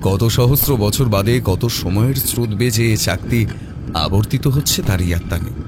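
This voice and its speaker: A man's voice: lowish voice, normal speed, noisy background. A man narrating a horror mystery story in a dramatic, suspenseful, theatrical way. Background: prominent background music.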